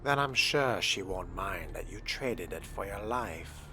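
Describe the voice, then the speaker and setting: A man role-playing a smooth, menacing, haughty young vampire in a game, speaking in a measured, theatrical, formal way. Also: low voice, expressive, bright, forceful tone, faint music behind, heavily acted. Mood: smug menace. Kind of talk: in-character role-play game commentary.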